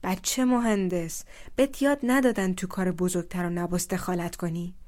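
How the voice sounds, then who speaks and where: A big-boned village elder over seventy, speaking in gruff, rustic talk, as a woman narrator puts him on. He scolds loudly and with scorn, rising above the calm narration. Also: fairly low pitch, lightly acted. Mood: scornful.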